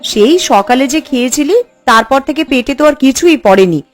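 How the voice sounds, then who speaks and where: A woman playing a fretful, scolding housewife and doting mother in a cartoon, exasperated and coaxing in an expressive way. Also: high-pitched, fairly quick, no echo, soft background music. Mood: worried, coaxing. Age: adult. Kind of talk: cartoon voice acting.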